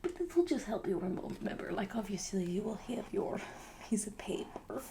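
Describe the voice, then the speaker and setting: A woman playing a pretentious, eccentric female artist in a whispered roleplay, with a put-on foreign accent that slips, speaking in a haughty, deadpan way. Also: medium pitch, normal speed, creaky. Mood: haughty, whispery.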